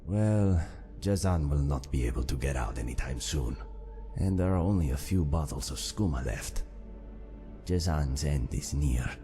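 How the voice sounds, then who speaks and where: Khajiit thief for fantasy game: extremely low voice, wry and fatalistic, third-person talker, music underneath. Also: male voice, animated, unhurried, mellow. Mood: resigned. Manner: refers to himself in the third person. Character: imprisoned male Khajiit cat-man thief, wry and fatalistic. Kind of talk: fantasy game character dialogue.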